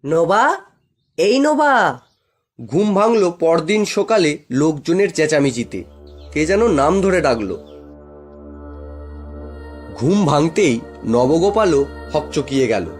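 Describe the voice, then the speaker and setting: A male storyteller with a fairly high voice reads scripted horror narration for a cartoon. Prominent music plays behind him. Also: lively intonation, slow, pauses often, darkish tone.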